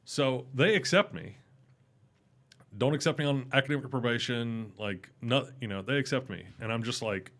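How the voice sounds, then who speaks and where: A young adult man with a loud, carrying voice, telling stories casually and animatedly as a podcast guest. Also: moderate pace. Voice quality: loud voice that carries. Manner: animated, rising in pitch when excited. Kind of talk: casual storytelling interview.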